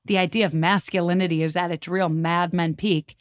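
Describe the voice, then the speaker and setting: A woman with a slightly low voice chats as a podcast guest in a very colloquial, animated manner. She explains history casually, peppering it with "like" and acted-out asides. The sound is close and dry. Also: a little fast, dry recording. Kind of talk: casual conversational commentary on history.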